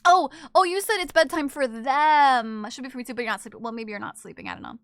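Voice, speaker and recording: A high-pitched woman streams live and talks to her chat, animated and teasing, full of exclamations. Her voice is bright and sharp. The sound is dry and close, with no room echo. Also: fast, clean tone. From not from Japan.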